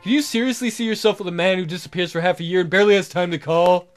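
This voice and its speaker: Jealous rival suitor played by a man, raised pitch, insistent and pleading, romance game, light music behind. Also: fairly even intonation, racing, lightly acted. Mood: indignant. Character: pushy, jealous male suitor and coworker.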